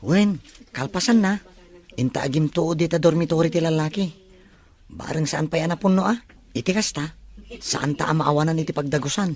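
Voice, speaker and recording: A man's voice: fairly high pitch, moderate pace, long pauses, close to an everyday voice. A man playing a young student from the provinces in an audio drama. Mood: chatty, friendly. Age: young adult. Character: young provincial male student new to the city. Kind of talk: acted audio drama dialogue.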